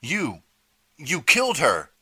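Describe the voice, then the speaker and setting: A man voicing a frantic teenage boy prankster who shouts in a shrill, trembling voice. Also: wildly swooping pitch, slow pace, breathy edge, exaggerated voice. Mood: panicked.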